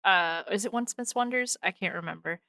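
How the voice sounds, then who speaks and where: A woman's voice: medium pitch, fairly quick, bright, forceful tone, no room sound, clean studio sound. A woman hosting a casual podcast, gushing and rambling in an informal way. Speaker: podcast host.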